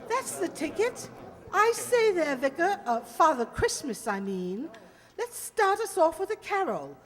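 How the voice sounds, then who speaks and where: Woman doing a posh hearty society lady in a radio play, super high voice, big echo. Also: sing-song, measured pace, a faint crowd, full character voice. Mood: brisk, bossy. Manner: grand, exclamatory society talk. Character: blustery upper-class English lady, hearty and exclaiming. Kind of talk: amateur radio play acting. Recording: reverberant hall-like radio play recording.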